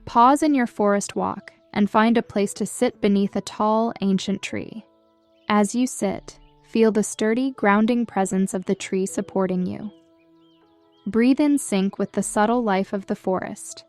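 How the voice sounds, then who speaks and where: A woman narrating a scripted guided meditation in a soothing, measured and gentle manner. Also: mid-range voice. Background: background music.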